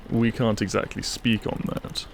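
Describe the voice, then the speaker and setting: A devoted, wry husband , acted by a man in an intimate audio roleplay. His voice is fairly low with a gravelly creak. He speaks dryly and fondly, with faint ambience behind him. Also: flat delivery, a little slow, noisy background. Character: devoted, wry British husband sheltering a guest.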